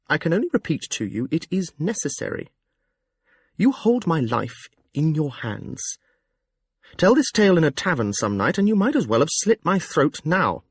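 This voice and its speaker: A man's voice: a little high, breathy edge, no room sound, clean studio sound, voice pushed up, heavily acted. A man narrating a storybook and voicing a secretive nobleman who speaks tightly and pleads with a friend.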